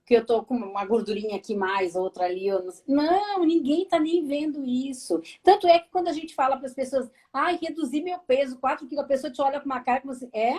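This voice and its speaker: Gaúcha woman, higher voice, talking to viewers. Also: fast, few pauses. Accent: southern Brazilian gaúcho. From Rio Grande do Sul, Brazil. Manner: lively, addresses viewers directly, frequent tag questions. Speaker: holistic therapist hosting a live stream. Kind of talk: conversational live talk.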